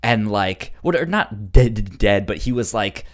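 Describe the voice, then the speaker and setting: A man co-hosting a podcast in casual chat, halting and often repeating sounds in a stutter. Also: on the low side, lively intonation. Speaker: podcast co-host.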